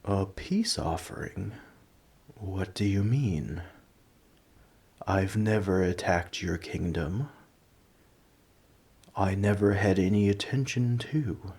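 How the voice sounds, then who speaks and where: A man playing a large, ancient, nerdy dragon in an ASMR roleplay, polite and earnest but a little huffy, with a soft voice. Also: fairly low pitch, husky, heavily acted. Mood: mildly puzzled. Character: large, ancient, nerdy gentle male dragon, politely indignant coin collector. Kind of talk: ASMR roleplay audio drama.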